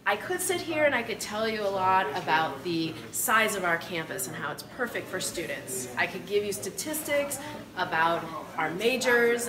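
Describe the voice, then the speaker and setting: A middle-aged woman giving a welcome address to camera as a university admissions director. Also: medium pitch. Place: indoor campus lobby. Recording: roomy, distant camera mic, background chatter.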